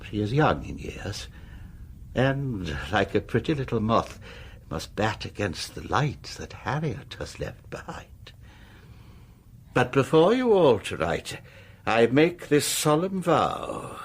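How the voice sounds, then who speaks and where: An actor playing a pompous, sinister, possessive English lord of a manor in a radio drama, speaking in measured, theatrical oratory with a husky voice. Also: male voice, mid-range voice, sing-song, exaggerated voice. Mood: menacing, possessive.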